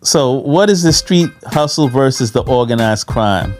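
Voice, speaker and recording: A man's voice: mid-range voice, animated, measured pace, faint music behind. A man co-hosting a casual podcast conversation, putting questions and agreeing briefly. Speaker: podcast co-host asking questions.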